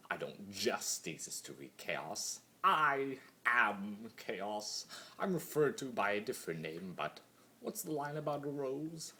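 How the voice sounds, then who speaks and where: A man's voice: on the low side, a bit bright, a little hiss or hum. A man voicing a bitter, sarcastic chaos creature from a pony cartoon in a theatrical dramatic monologue. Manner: theatrical, sarcastic, swings between mutters and mocking outbursts. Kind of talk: dramatic monologue reading of fan fiction.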